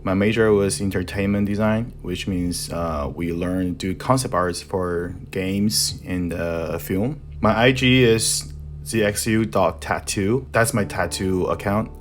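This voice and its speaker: A young man with a Chinese accent, a native Mandarin speaker, narrating a voice-over that explains his tattoo design process. He talks haltingly and searches for words in his second language. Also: deep voice, soft background music. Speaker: tattoo artist and art instructor.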